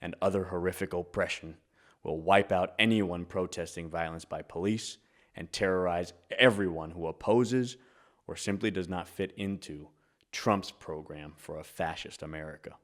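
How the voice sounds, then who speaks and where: A man's voice: deep voice, moderate pace. A man reading scripted political narration.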